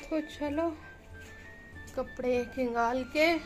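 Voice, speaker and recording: A woman's voice: high voice, very slow. A young woman with a Rajasthani Hindi accent, chatting to viewers as she does housework in a village courtyard, recorded on a phone. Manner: chatty, addresses viewers directly. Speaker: vlogger. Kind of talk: casual vlog narration. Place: village home courtyard, outdoors. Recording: background music under the voice.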